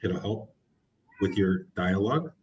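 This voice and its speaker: A man's voice: low-pitched, animated, a little slow, muffled recording, a little hiss or hum. A man leading a real estate training session, encouraging people and asking the group questions. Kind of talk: coaching a group discussion.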